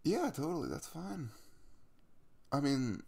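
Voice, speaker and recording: Guy playing a chill caring young crush in asmr roleplay, super swoopy pitch, heavy fry, rambly and teasing. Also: huge pitch swings, slow pace, mellow, heavily acted. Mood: gentle, hesitant. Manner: rambling, casual, jokey and reassuring. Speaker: audio roleplay performer. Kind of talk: intimate ASMR boyfriend roleplay, conversational.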